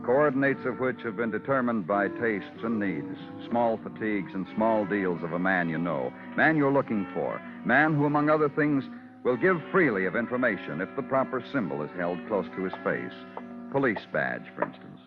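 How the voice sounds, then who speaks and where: An actor playing a terse, world-weary police lieutenant in an old radio crime drama, clipped and cool. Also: male voice, on the low side, animated, moderate pace, lightly acted. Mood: brooding. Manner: clipped, cool, poetic when narrating. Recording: muffled old radio recording, music behind.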